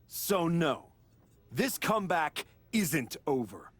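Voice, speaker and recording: An actor playing an arrogant, bitter wrestler in a video game, ranting with contempt and biting sarcasm. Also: male voice, on the high side, very animated, takes it slowly, fairly bright tone, slightly rough, exaggerated voice. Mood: contemptuous. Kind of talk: press conference rant in a video game.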